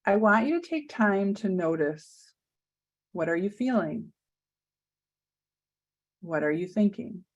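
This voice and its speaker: Slow, soothing woman guiding meditation. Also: medium pitch, lively intonation, dull audio. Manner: slow, soft, soothing, with long pauses. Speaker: meditation guide. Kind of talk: guided meditation.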